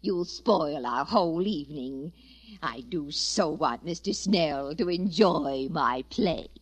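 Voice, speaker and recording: A woman's voice: low-pitched, expressive, slow pace, breathy edge, heavily acted. A woman playing a haughty, cruel, imperious society matron in a 1940s radio drama, with a snobbish, theatrical manner. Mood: affected coaxing.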